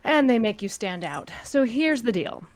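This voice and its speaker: A woman's voice: on the high side, very animated, moderate pace. Woman playing a cool, menacing female spymaster. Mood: commanding, threatening. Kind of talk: script table read of sci-fi fan drama.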